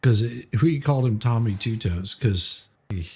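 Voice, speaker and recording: A man with a mid-range, gravelly voice full of fry chats casually as a live streamer. He rambles in a jokey way and doubles back on his words. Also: mid-range voice, lively intonation, unhurried. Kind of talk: casual livestream chat.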